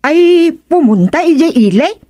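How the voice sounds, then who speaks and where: A woman's voice: sits high, very animated, measured pace, warm tone, no echo, studio quality. A woman playing a hesitant, apologetic villager in a radio drama comedy, speaking politely. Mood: politely resigned.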